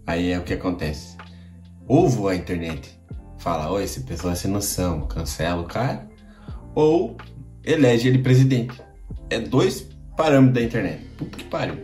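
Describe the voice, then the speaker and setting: A man's voice: fairly low pitch, lively intonation, normal speed, a little mellow. A man with a Brazilian accent hosting a podcast, giving casual, slangy reaction commentary full of swearing. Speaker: podcast host. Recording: echoey room with music behind.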